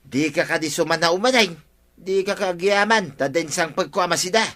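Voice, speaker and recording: Sharp, bright-voiced Ilocano village watchman. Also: high voice, moderate pace. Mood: protesting. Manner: protesting, argumentative. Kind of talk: radio comedy drama acting.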